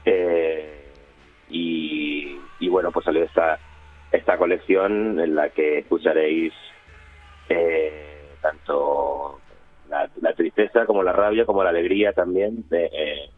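Over a tinny phone line with faint music, a man with an accent from Spain gives interview answers. His voice is very deep and he talks hesitantly, feeling for his words. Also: slow. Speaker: radio interview guest, community radio member. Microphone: phone call.

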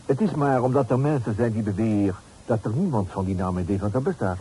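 Actor as a nosy, mellow-voiced landlord informer. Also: male voice, fairly low pitch, fast, lightly acted. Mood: sly, insinuating. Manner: prying, slyly polite. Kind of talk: Dutch period radio drama acting. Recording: noisy old radio play recording.

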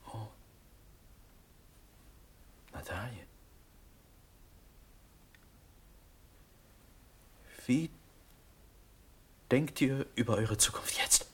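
An actor playing an ardent, gallant young prince in impassioned verse for a German radio drama. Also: male voice, medium pitch, expressive, slow pace, slightly rough, exaggerated voice. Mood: tender. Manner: impassioned, swinging from hushed to declaiming.

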